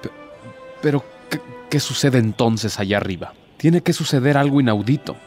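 A man's voice: mid-range voice, animated, moderate pace, a little mellow, close to an everyday voice. Guy doing a bitter proud devil in a radio play, sarcastic n theatrical, bit of music behind. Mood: incredulous, bitter. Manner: theatrical, rhetorical, sardonic.

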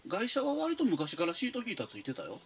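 Casting: male radio panelist, Kansai accent, swooping intonation, fast informal banter. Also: sits high, huge pitch swings, husky. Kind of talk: casual banter.

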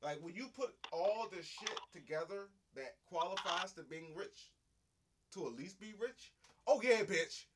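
A young man with a high, lively voice that swings up and down. He talks casually and crudely with a lot of animation, and he often breaks into snatches of song. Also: measured pace, slight background noise. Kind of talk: casual chat.

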